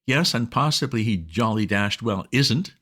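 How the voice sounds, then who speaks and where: A man voicing a flustered, excitable young gentleman who bursts out in indignant exclamations in a storybook reading. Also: medium pitch, voice pushed up. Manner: exclamatory, indignant, rapid outbursts.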